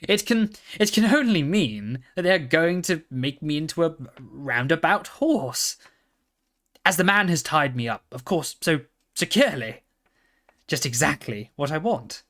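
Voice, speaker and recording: A man's voice: a little high, expressive, unhurried, fairly bright tone, pitched above the performer's own voice. A man voicing a polite, hopeful little brown pony in a storybook, speaking with earnest, formal courtesy. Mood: pleased, excited.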